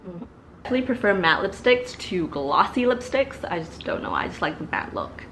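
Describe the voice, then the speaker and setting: A woman's voice: on the low side, sing-song, fairly quick, fairly bright tone, a little hiss or hum, poor audio. Stuffy-nosed young woman reviewing makeup. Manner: chatty, many fillers and repeated words. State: stuffy from allergies. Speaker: beauty vlogger. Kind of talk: casual product review. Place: living room, seated before a couch.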